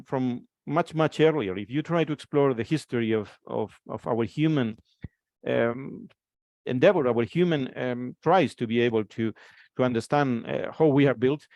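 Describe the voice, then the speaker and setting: A man's voice: mid-range voice, darkish tone, no echo. A man from Spain in his forties with a Spanish accent, giving a conference presentation and often repeating words as he restarts his phrases.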